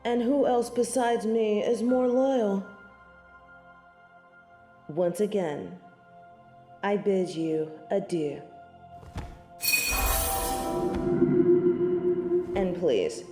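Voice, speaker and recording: A woman's voice: mid-range voice, slow pace, long pauses, echoey room, music in the background, natural voice. A woman playing a snobby, scornful angel in a scripted audio roleplay, speaking in formal, archaic phrases with lofty disdain. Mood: proud, dismissive. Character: snobby, haughty female angel of judgement, proud, scornful tsundere.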